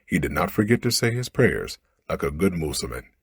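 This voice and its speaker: A man with a low, very breathy voice narrates a bedtime story in ASMR style. His reading is expressive, with wide melodic movement. It is recorded close to the microphone. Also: brisk, no room sound.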